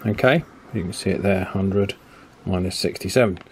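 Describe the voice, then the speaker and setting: A man's voice: deep voice, moderate pace. Man narrating a hands-on tutorial, noisy background. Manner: informal, thinking aloud while working. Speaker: electronics hobbyist demonstrating radio alignment. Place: indoor electronics workbench. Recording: clear background noise from workbench.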